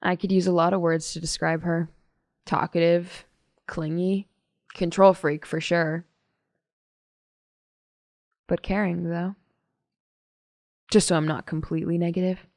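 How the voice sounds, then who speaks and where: An actress playing a blunt, bitter high school girl giving guarded testimony in a naturalistic audio drama. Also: flat delivery, a little slow, long pauses, a little mellow, dry recording, studio quality. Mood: blunt, resentful. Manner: guarded, curt, sardonic.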